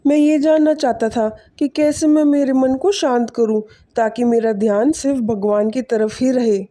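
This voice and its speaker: A woman voicing a kindly, lonely older village man in an animated moral story, earnest and fatherly. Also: a little high, moderate pace, natural voice. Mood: troubled, earnest.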